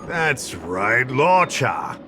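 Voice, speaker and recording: An actor playing an affable, easygoing investigative official in a video game, conversational and explanatory. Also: male voice, medium pitch, big pitch swings, extremely slow, sharp tone, slight background noise, exaggerated voice. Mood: casual, forgetful. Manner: conversational, slightly absent-minded, explanatory. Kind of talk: video game character acting.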